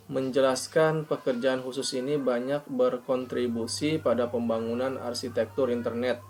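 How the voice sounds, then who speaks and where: Creaky-voiced man presenting. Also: medium pitch, a little mellow. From Indonesia. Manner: formal, reading out points then explaining haltingly. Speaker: postgraduate student presenter. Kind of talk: academic presentation of an article review.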